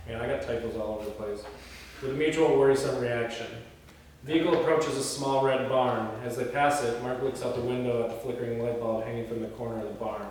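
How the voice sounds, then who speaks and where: A man's voice: mid-range voice, darkish tone. A man reading screenplay scene headings and stage directions aloud as the narrator of a read-through. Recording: echoey hall from far off, faint noise.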